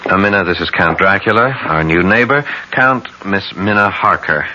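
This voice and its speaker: An actor playing an earnest, worried gentleman doctor with a soothing, courteous manner in a radio drama. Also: low-pitched, normal speed. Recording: dull old radio recording, faint noise.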